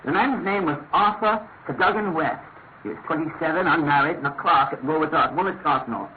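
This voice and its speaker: Earnest, amiable male sidekick and storyteller, acted by a man, slightly high voice, measured delivery, old radio drama on a thin phone-like band. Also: slight background noise, natural voice. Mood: eager, informative. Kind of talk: classic radio drama narration and dialogue. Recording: tinny narrow-band radio sound, music behind.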